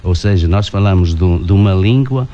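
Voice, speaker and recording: A man from Portugal with a European Portuguese accent, a guest writer speaking haltingly in a studio interview, full of fillers and restarts. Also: deep voice, dark tone, highs cut off. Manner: hesitant, many fillers and restarts. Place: radio studio.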